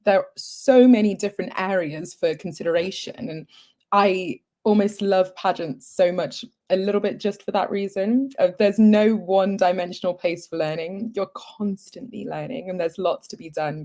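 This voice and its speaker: A woman's voice: lowish voice, normal speed, a little mellow. A young woman from Zimbabwe, answering interview questions in an earnest, upbeat and articulate way. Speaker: pageant contestant, guest.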